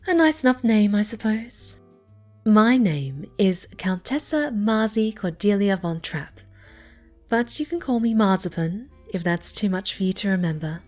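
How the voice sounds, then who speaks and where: A woman playing a snobby, pouty young cat girl with a soft side in an ASMR roleplay. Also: mid-range voice, natural voice. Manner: haughty, pouty, dismissive.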